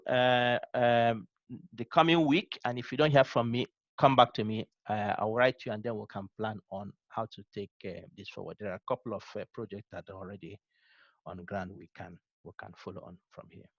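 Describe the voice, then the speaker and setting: Nigerian male instructor, low register, halting filler-heavy delivery, dull dry call audio. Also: a bit bright, no echo. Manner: unhurried, thinks aloud with many ums and uhs. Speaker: course instructor. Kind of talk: closing remarks to an online class.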